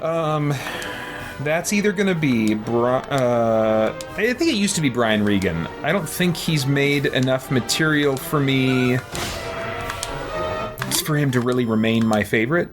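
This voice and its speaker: An American man hosts a casual game stream in a rambling, conversational way. His voice sits at an average male pitch, and he often mutters his thoughts aloud. Music plays behind him. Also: animated, a little slow. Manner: talks to himself while playing, thinking aloud. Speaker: livestream host playing video games. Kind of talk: casual rambling livestream chat. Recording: background music under the voice.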